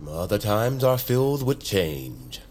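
An actor playing a sly master tailor and secret mentor, silky, deliberate and theatrical in audio drama style. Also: male voice, on the low side, sing-song, darkish tone, full character voice. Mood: sly, intimate.

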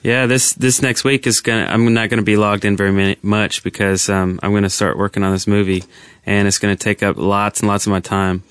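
A man's voice: fairly low pitch, flat delivery, fairly quick, hardly any pauses, fairly bright tone, clear, resonant tone, no room sound, clean studio sound. A man co-hosting a podcast in casual group chat. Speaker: podcast co-host.

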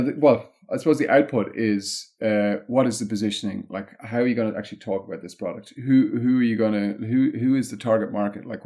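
Male interview guest, Irish accent, lower register, conversational, thinking aloud in halting, discursive explanations. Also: warm tone. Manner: discursive, halting explanations peppered with "like" and "you know". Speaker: interview guest, content marketing consultant.

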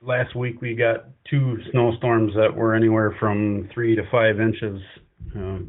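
Flat-voiced man, tinny sound. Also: on the low side, unhurried. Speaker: co-host.

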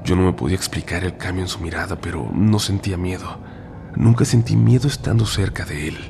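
A hoarse man hosting a horror story podcast, reading tales in a hushed, half-whispered voice. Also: low-pitched, fairly quick, noisy background. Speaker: podcast host.

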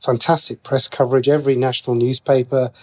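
A man's voice: medium pitch, little pitch movement, fast. A man with a British accent talking as a guest writer in a conversational interview, explaining things in a chatty way.

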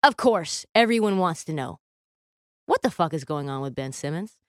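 A woman's voice: sits low, animated, moderate pace, no echo, clean studio sound. A woman hosting a sports show, speaking in a casual, punchy, irreverent way. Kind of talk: casual scripted narration and interviewing.

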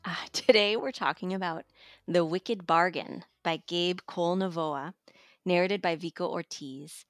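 Woman book reviewer, lower-pitched, enthusiastic and conversational, very dry close sound. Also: measured pace, dry recording. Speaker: audiobook magazine editor and reviewer.

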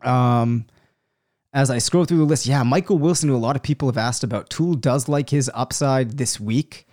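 A man with a cold, a little hoarse, hosting a sports podcast alone and thinking out loud through listener questions, with many ums and hedges. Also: mid-range voice, normal speed. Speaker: fantasy football podcast host. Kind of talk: live solo Q&A, answering listener questions.

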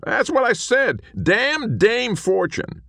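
A man voicing a small, hot-tempered ghost outlaw who shouts and swears in a shrill, high voice. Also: sing-song, slow pace, gravelly, dry recording, clean studio sound, heavily acted. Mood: indignant. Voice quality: shrill, shouting, curses constantly.

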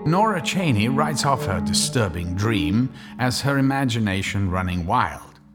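A man's voice: on the low side, very animated, measured pace, over loud music. A man narrating a scripted audio drama in a storytelling style.

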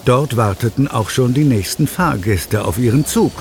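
A man tells a scripted children's story as narrator. His pitch is in the middle range for a man. Clear background noise sits under the narration. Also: lively intonation, moderate pace.

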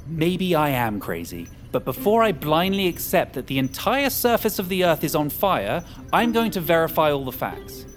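A man's voice: a little high, sing-song, moderate pace, few pauses, soft background music. An actor playing a suspicious, defiant man who argues and takes command of a trapped group in an audio fiction drama. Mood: agitated, scornful. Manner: argumentative, commanding, sarcastic.

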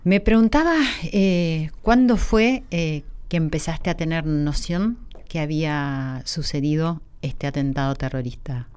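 A woman hosting a radio interview from a studio, speaking in a gentle, reflective way. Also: low-pitched, unhurried, hardly any pauses, clean tone. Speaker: radio host.

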